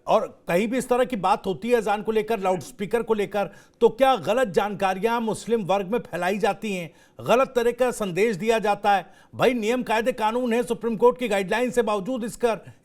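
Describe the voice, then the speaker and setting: A man's voice: high voice, normal speed, few pauses, darkish tone. A man anchoring a live TV news debate, putting pressing, challenging questions to panelists.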